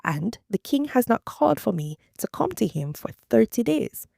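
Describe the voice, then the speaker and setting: A woman's voice: a little high, sing-song, moderate pace, creaky, mellow, dry recording, clean studio sound, voice pushed up, heavily acted. A woman reading the lines of a resolute young queen in a scripture reading, in her own voice. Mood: earnest. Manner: earnest quoted speech within a reading.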